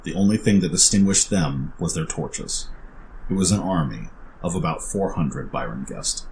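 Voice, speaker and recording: A man's voice: deep voice, animated, moderate pace, darkish tone, slight background noise, rough recording. A man narrating a scripted fantasy story as its storyteller.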